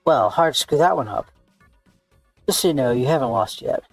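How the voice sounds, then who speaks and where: A man's voice: medium pitch, animated, moderate pace, breathy edge, faint music behind. A man voicing a blunt, teasing schoolgirl chess opponent in a visual novel, casual and dismissive. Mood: unimpressed, teasing. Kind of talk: reading visual novel dialogue aloud.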